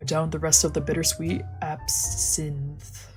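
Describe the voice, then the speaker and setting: A woman's voice: sits low, little pitch movement, takes it slowly, faint music behind. A woman narrating a visual novel aloud as a let's play, with casual, slangy asides.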